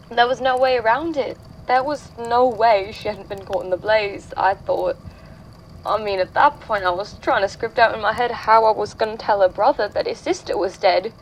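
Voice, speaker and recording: A woman acting an anxious young woman giving a halting, confiding first-person statement in an audio drama. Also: mid-range voice, a little hiss or hum, natural voice, poor audio. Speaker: voice actor in a fan fiction audio drama.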